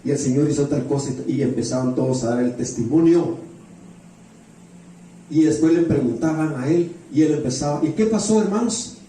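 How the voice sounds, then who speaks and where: A man's voice: medium pitch, steady tone, moderate pace, mellow. Male preacher in a very echoey hall. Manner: often addresses the congregation with rhetorical questions; repeats phrases for emphasis. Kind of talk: sermon with storytelling. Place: church hall with heavy echo. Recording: very echoey hall, distant mic, faint noise.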